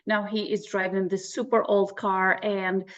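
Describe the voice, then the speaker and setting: A woman's voice: mid-range voice, little pitch movement, a little slow, fairly bright tone, highs cut off. A woman from Iraq, around forty, telling her personal story candidly and matter-of-factly as an interview guest. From Baghdad, Iraq. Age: 30s to 40s. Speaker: interview guest, real estate agent.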